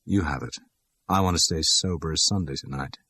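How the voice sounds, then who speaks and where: A man voicing a sharp-tongued, teasing young American woman with brisk, slangy talk in an audiobook. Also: a bass voice, slightly rough, heavily acted.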